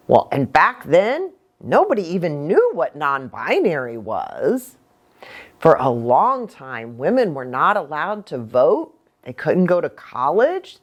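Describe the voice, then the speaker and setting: Animated American woman preacher teaching children. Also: low voice. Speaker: preacher and children's story host. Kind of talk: children's lesson talk, explaining simply.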